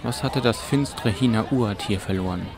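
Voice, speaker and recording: A man's voice: fairly low pitch, normal speed, a crowd in the background. A man narrating a scripted fantasy audiobook as a storyteller. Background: music and ambience.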